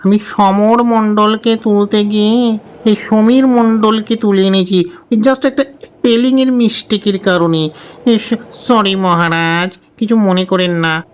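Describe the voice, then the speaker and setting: A man voices a bumbling celestial clerk for a comic cartoon. The voice sits fairly high, suited to a hapless servant of a king. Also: steady tone, a little slow, clear, resonant tone. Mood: sheepish apology.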